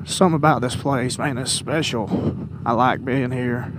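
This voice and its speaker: A man's voice: medium pitch, steady tone, normal speed. A man giving an earnest, colloquial, preachy vlog talk about faith as he rides a motorcycle. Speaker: motorcycle vlogger. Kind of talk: casual faith talk while riding. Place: outdoors, riding a motorcycle. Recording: loud engine, wind and road noise.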